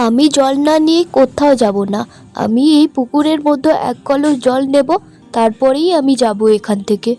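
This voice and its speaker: A woman's voice: high voice, normal speed, warm tone, faint music behind, close to an everyday voice. A woman voicing a stubborn, devoted village girl in a cartoon, insistent and earnest. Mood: defiant. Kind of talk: cartoon voice acting, dramatic dialogue.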